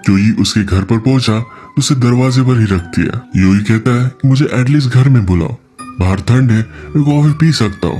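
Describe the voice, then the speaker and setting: A man's voice: fairly low pitch, a little mellow. A man giving a scripted movie-recap narration, telling the story in a plain, explanatory manner with simple sentences. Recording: music under the voice. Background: background music.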